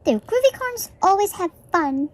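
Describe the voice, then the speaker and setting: A woman voicing excitable, cute little storybook creatures with eager exclamations. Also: very high-pitched, normal speed, slight background noise, pitched above the performer's own voice. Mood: delighted.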